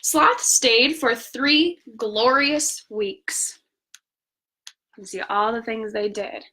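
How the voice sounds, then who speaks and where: A woman reads a children's bedtime story in a fairly high, bright voice. She takes her time, stretching key words for emphasis and speaking directly to her young audience. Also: slow. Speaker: picture-book reader. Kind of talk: bedtime story read-aloud with asides to viewers.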